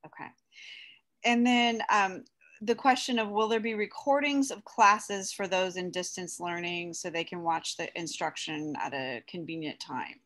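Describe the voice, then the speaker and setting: A woman's voice: on the low side, unhurried, fairly bright tone. Woman moderator on a video call, reads questions plainly with short acknowledgements. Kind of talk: reading submitted questions aloud.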